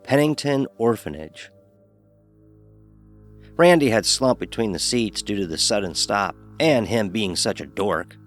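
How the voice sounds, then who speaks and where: A man's voice: fairly low pitch, animated, measured pace, soft background music. A man playing a cocky, sarcastic young fraternity pledge who narrates his own horror story. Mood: tense. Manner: sarcastic, dismissive. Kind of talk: first-person horror story narration with acted dialogue.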